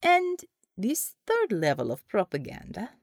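Female narrator as gruff Russian female commander, blunt, grudging, lively intonation, dry close recording, audiobook character. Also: mid-range voice, unhurried, no room sound. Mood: skeptical. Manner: blunt, non-native English phrasing.

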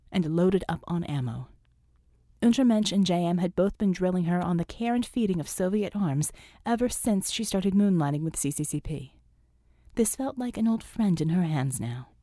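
Female audiobook narrator for action fiction: warm, relaxed timbre, scripted storytelling, dry close recording. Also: fairly low pitch, no room sound.

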